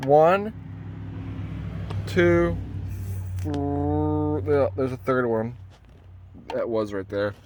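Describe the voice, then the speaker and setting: A man gives a casual hands-on DIY truck tutorial in a halting, rambling way, often correcting himself as he goes. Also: medium pitch, darkish tone, a little hiss or hum. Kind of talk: casual hands-on how-to talk. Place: inside a parked pickup truck cab.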